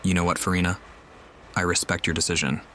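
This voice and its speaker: Deep-voiced man playing a video game. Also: level tone, fast talker, bright, forceful tone, a little hiss or hum. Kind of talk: casual gameplay commentary, reading dialogue aloud. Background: game music.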